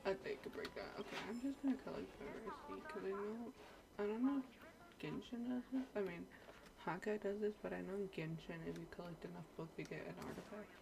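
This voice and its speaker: A woman's voice: mid-range voice, brisk, gravelly. A woman streaming a game with casual, chatty, informal commentary, reacting to what she plays. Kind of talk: casual commentary over gameplay. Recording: noisy stream with game audio behind.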